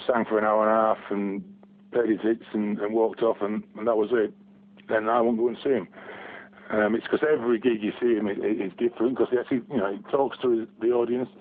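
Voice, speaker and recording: Man on a tinny phone line. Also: on the low side. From Barnsley, England. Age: 40s. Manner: hesitant, many restarts and ums. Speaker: guest fan. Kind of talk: casual phone interview. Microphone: telephone.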